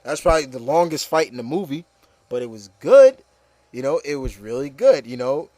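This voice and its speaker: A man's voice: medium pitch, animated, a little fast, warm tone, no room sound. A man hosting a casual panel chat about movies, talking in a rambling, enthusiastic way and often saying "you know". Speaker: host of an opinion show.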